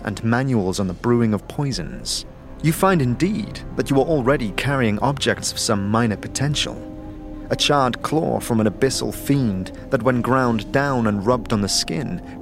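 Male fantasy narrator over music. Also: mid-range voice. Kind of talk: scripted second-person fantasy audio drama narration.

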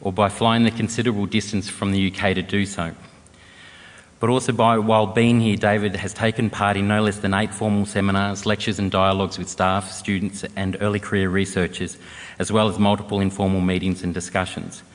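Deep, monotone male host reading a formal introduction in a reverberant hall. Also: fairly quick. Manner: steady, read-out delivery. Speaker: event host introducing a speaker.

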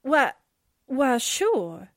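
Naive girl sidekick, played by a woman, 1940s radio: very high, slow, hesitant, close dry sound. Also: very animated, dry recording, exaggerated voice. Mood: puzzled. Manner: wide-eyed, hesitant. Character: gullible, naive, flustered young newspaperwoman sidekick.

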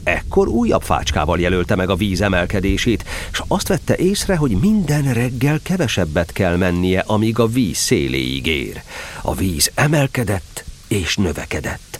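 Man narrating a kids story, lowish voice, super expressive and animated. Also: a little hiss or hum.

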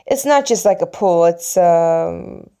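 A woman from Sweden with a slightly low voice speaks English as a second language. She tells a personal story in a casual, reflective way. Her delivery meanders, with pauses and hushed asides. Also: expressive, gravelly, smooth. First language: Swedish. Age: adult, mother of young children. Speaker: vlogger telling a personal story.

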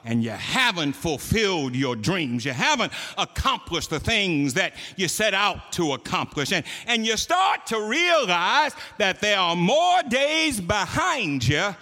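A man's voice: high voice, sing-song, a little slow, few pauses, bright, forceful tone, in a large hall. A man preaching a sermon to his church, building emphasis through repeated phrases. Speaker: pastor.

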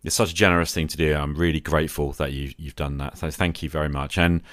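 Very deep-voiced middle-aged man. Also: steady tone, fast, a bit bright, no room sound, clean studio sound. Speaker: podcast host. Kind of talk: solo podcast talk replying to listener calls.

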